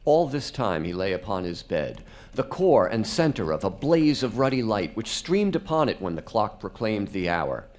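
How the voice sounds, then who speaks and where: A man's voice: lowish voice, very animated, moderate pace, hardly any pauses, darkish tone. A man narrating a live radio drama as a scripted, dramatic storyteller. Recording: faint music behind.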